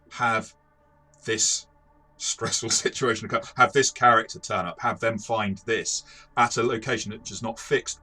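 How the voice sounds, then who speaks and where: A man's voice: on the low side, bright, forceful tone. A man co-hosting a casual, analytical game review, explaining things in a hesitant way and often rephrasing mid-sentence.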